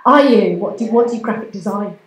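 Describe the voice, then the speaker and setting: A woman's voice: medium pitch, fairly quick. An English woman acting as MC of a comedy night, chatty and bubbly, teasing the crowd. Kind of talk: live emcee banter. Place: community centre hall, live audience. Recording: echoey hall with audible noise.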